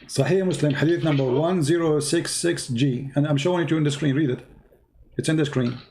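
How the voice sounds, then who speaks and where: Man, insistent, lecturing, repetitive, slightly high voice, mellow tone. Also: fairly even intonation, fairly quick, slight background noise. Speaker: host. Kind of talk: heated call-in debate.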